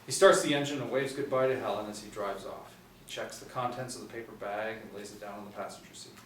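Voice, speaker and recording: A man in his forties reads script narration in a low voice. The recording is roomy and a little distant. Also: quick pace, fairly bright tone. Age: 40s. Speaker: narrator of stage directions. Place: small room indoors. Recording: roomy, distant mic, faint noise.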